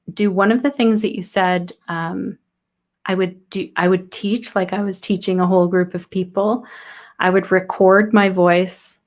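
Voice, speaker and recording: Encouraging woman podcast host. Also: fairly low pitch. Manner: encouraging, reflective, asks open questions. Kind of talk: casual interview chat.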